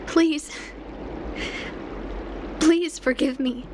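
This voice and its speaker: A woman's voice: high voice, steady tone, extremely slow, noisy background. A woman playing an ailing, lovelorn fugitive in a video game, earnest and sorrowful, pleading as she speaks. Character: anguished long-lived woman, ailing fugitive in love. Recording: loud music behind the voice. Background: game music.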